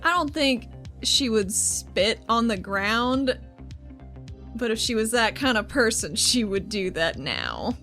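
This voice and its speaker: High-voiced woman playing a tabletop game. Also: very animated, slow, bright, forceful tone, soft background music. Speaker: tabletop roleplaying player. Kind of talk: casual improvised game chat.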